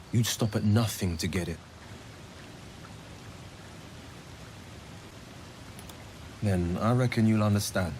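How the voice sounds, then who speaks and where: An actor playing an earnest, haunted monster hunter in a video game, speaking in a measured, solemn, confessional way. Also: male voice, lowish voice, a little hiss or hum. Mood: solemn, confiding. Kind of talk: dramatic video game monologue.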